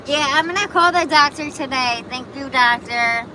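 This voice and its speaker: A woman's voice: sits high, normal speed, pushed and bright, rough recording. Chatty woman streaming amid traffic. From Buffalo, New York. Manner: chatty, rambling, reacts to chat comments. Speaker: livestream host. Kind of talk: casual live chat answering viewers.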